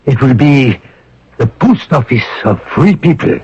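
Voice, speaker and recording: An actor playing an impatient, authoritative French Monsignor in a radio drama, with a brisk manner. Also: male voice, mid-range voice, expressive, darkish tone, slightly rough, full character voice. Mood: resolute. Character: commanding, impatient French Monsignor leading the resistance. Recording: muffled old radio broadcast.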